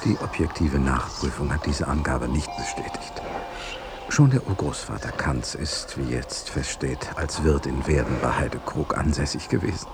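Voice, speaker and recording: Radio drama: factual record-keeper, extremely low voice, formal and dry, noise behind. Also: male voice, moderate pace. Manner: matter-of-fact, formal. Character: dry, factual male chronicler correcting the record. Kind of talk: documentary-like narration in radio drama.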